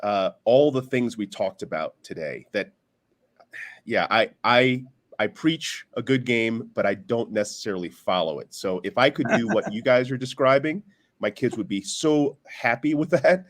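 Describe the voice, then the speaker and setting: A man's voice: mid-range voice, normal speed. A man speaking casually as a guest on a discussion panel. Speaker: panelist, parent.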